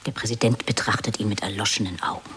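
A man's voice: lowish voice, steady tone, quick pace, fairly bright tone, husky, in a whisper. A man narrating stage directions in a radio play, as scripted asides between the dialogue.

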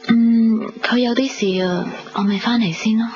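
A devoted, fragile young woman of Hong Kong played by an actress in a radio drama. Her voice sits fairly high. The recording is dull, with clear music behind her. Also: steady tone, normal speed, darkish tone, lightly acted. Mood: subdued, resigned. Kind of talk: radio drama acting, with narration.

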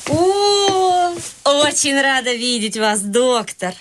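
A woman's voice: high voice, takes it slowly, noisy background. An actress playing a fussy, bossy wealthy widowed mother in a radio play.

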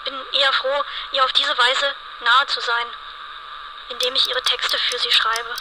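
A woman delivering an interior monologue for experimental radio art, phrasing in a measured, deliberate way. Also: high-pitched, flat delivery, noisy background. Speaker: writer-performer of a radio art piece.

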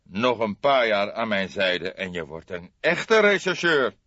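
A seasoned older male detective, played by a man narrating an audiobook, deliberate and wry. He speaks thoughtfully with a teasing edge, close and dry in a slightly muffled recording. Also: on the low side, sing-song, pushed and bright, no echo, full character voice. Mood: dry approval. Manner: thoughtful, measured, dryly teasing. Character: grey-haired veteran male detective, deliberate, wryly jovial. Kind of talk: audiobook character acting.

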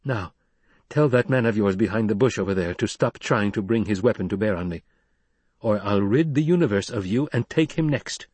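Controlled noblewoman, soft and measured, husky low tone, voiced by a man. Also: animated, no room sound. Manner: quiet, measured, cold. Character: calm, cool, controlled noble woman fighter.

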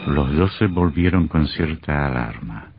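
An actor as the grave narrator of a sinister radio mystery, reading in a dramatic scripted style. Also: male voice, extremely low pitch, animated, measured pace, very noisy. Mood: grave, suspenseful. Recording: dull old radio recording. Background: music.

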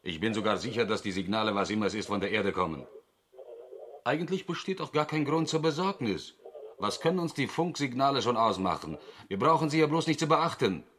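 An actor playing a sceptical, argumentative astronaut in a German science fiction radio drama, with a dismissive way of talking. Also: male voice, medium pitch, expressive, brisk, fairly bright tone, close to an everyday voice. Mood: dismissive, reassuring. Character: sceptical male astronaut on a space mission.

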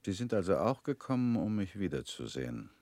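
A very deep-voiced reclusive ex-defendant. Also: male voice, brisk, dry recording. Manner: calm, quiet, guarded. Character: withdrawn former political defendant, reclusive man. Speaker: actor in radio drama. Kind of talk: radio play acting.

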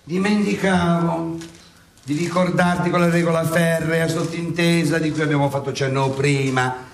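Boastful old-church friar saint, played by a male actor: high voice, sarcastic oratory, satirical theatre, echoey distant stage recording. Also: fairly even intonation, moderate pace, close to an everyday voice. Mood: accusing, sarcastic. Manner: declamatory, sarcastic, mock-courtly oratory. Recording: echoey stage, distant mic, faint noise.

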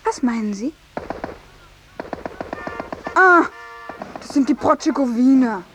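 A woman's voice: high-pitched, animated, takes it slowly, noisy background. An actress playing a dreamy, naive and coquettish young general's daughter in a 1950s radio play, with a gushing girlish manner. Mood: proud, coy.

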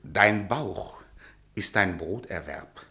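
A man's voice: low-pitched, animated, takes it slowly, sharp tone, exaggerated voice. An actor playing a teasing, bossy theatre director and charming womaniser in a radio drama, with a wry, patronising way of talking. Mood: mocking, amused.

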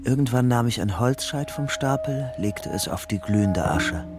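A man's voice: medium pitch, lively intonation, normal speed, over music, close to an everyday voice. An actor playing an anxious, guarded young man on the run in a German radio crime drama. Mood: brooding, tense. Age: young adult. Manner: brooding narration alternating with urgent questioning. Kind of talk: German radio crime drama, first-person narration and dialogue.

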